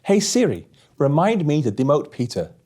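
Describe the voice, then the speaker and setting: A man's voice: a little high, sing-song, normal speed, mellow. A man voicing a wry female engineering director in a storybook, firm and matter-of-fact. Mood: dry.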